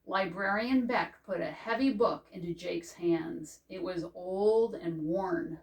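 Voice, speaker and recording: An older woman reading a children's picture book aloud as a librarian, in a measured, careful storytelling manner. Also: on the low side, darkish tone. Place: indoors before a green backdrop. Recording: distant microphone.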